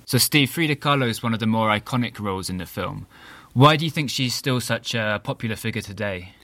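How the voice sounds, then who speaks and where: A man's voice: on the low side, brisk, sharp tone, dry recording. A man co-hosting a news podcast, presenting from a script. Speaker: podcast co-host.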